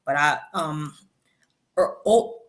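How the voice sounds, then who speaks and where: A woman in her thirties, a rapper guest, telling stories in a chatty, animated way in an interview. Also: low voice, takes it slowly. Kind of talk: interview storytelling.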